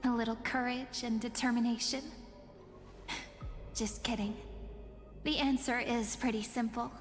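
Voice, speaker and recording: A woman's voice: fairly high pitch, fairly even intonation, measured pace, long reverb tail, soft background music, natural voice. An actress playing a playful, confident young woman with a light, teasing delivery for a game. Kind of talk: game voice acting.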